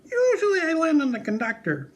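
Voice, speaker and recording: High-voiced, touchy operatic pig puppet. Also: male voice, wildly swooping pitch, brisk, heavily acted. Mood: cheerful. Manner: melodramatic, self-pitying. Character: touchy, vain operatic pig puppet, proud and easily offended. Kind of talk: children's puppet show dialogue.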